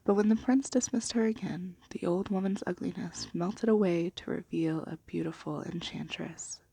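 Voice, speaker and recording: Woman, soft-spoken ASMR, lowish pitch, creaky vocal fry, breathy, hushed, gentle. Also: normal speed, no echo, poor audio. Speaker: ASMR video host and storybook reader. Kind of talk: soft-spoken and whispered ASMR, chat and bedtime storybook reading.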